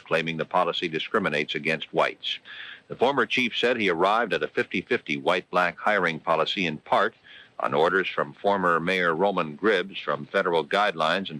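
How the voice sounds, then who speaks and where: A man's voice: deep voice, pushed and bright, dry recording, rough recording. An American man anchoring a local 1970s radio newscast from a script.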